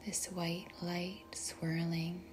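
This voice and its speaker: A woman leading a guided meditation in a soft, breathy, whispery voice, speaking gently and deliberately with long pauses between short phrases. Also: lowish voice, flat delivery, over music. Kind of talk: guided meditation, slow and soothing narration.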